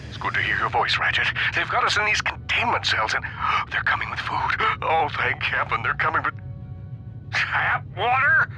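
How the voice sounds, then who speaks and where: Sharp, melodramatic space hero. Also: male voice, a little high, big pitch swings, moderate pace, a little hiss or hum, heavily acted, poor audio. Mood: distressed, indignant. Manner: overwrought, theatrical. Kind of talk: video game cutscene acting.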